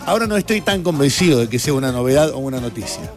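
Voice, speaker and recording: A man's voice: mid-range voice, animated, rapid-fire, over music. A man with an Argentine accent hosting a radio and TV show, chatting casually and explaining things to listeners with enthusiasm. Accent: Argentine Spanish.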